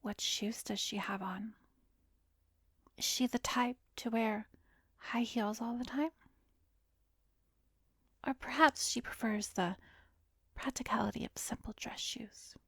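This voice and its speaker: A very breathy, whispering female therapist, acted by a woman for an ASMR hypnosis roleplay. Her voice sits a little high and stays soft and coaxing. She guides slowly and soothingly, very close and dry on the microphone. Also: fairly even intonation, no echo, full character voice. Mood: softly probing. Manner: slow, soothing, measured guiding with pauses. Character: calm, coaxing female therapist, secretly a manipulative vampiress. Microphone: close microphone, dry recording.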